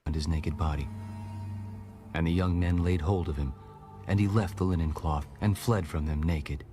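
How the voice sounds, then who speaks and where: A man narrating a dramatized audio Bible in a solemn, measured storytelling style. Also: low-pitched, fairly even intonation. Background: music.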